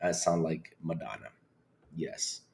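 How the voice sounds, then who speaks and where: A man hosting a casual livestream with a congested, slightly hoarse voice, swinging between loud bursts and low asides. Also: expressive, takes it slowly. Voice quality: hoarse, grumpy-sounding congested voice. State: congested, sick with allergies or a cold. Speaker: livestream podcast host. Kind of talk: casual livestream chat to viewers.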